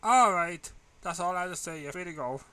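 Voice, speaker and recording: Caring, encouraging schoolmistress, gentle but bright, raised pitch, voiced by a man. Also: fairly quick, clear, resonant tone. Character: kindly, encouraging female schoolteacher.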